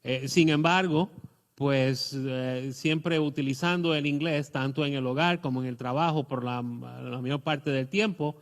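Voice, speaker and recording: A middle-aged man with a Puerto Rican accent preaching a sermon, pausing often with fillers and restarts. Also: mid-range voice. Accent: Puerto Rican Spanish. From Puerto Rico. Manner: hesitant, many fillers and restarts. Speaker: pastor.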